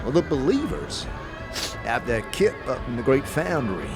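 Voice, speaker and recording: Sickly old ex-warrior, creaky and wheezing, lively swooping pitch, stammering, wry grumbler, fantasy audio drama. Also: male voice, moderate pace. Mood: grumbling, amused. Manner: stammering, chuckling, folksy slang. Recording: music under the voice.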